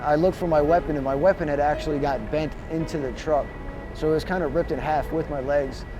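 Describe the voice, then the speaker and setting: A man with a fairly high voice gives an interview as a soldier. He is matter-of-fact and unscripted, and music sits clearly behind him. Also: fairly even intonation, fairly quick, poor audio.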